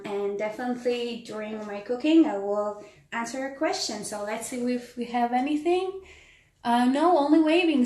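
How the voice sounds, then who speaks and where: Slow-talking Israeli woman cooking live. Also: on the high side, roomy sound. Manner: chatty, reads and answers viewer comments, frequent ums. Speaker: tour educator hosting a live cooking stream. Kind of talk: casual live cooking demo. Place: home kitchen.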